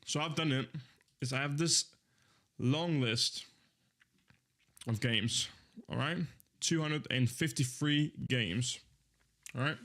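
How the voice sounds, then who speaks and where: A young man in his twenties, chatting casually on a live stream in a voice of ordinary, middle pitch. He rambles easily, fills gaps with ums, and corrects himself mid-sentence as he goes. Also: slow, pauses often, a bit bright. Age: 20s. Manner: rambling, easygoing, with many fillers and self-corrections.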